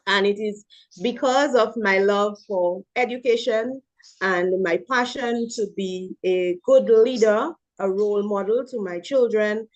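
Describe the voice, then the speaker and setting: A woman's voice: medium pitch, a little mellow. A woman from Dominica, an elementary school principal, introduces herself in a formal way. Her voice comes through dull, lo-fi audio.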